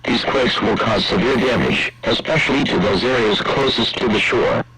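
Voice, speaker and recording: A man's voice: mid-range voice, normal speed, heavy background noise. A man reading a formal, scripted emergency alert as a broadcast announcer.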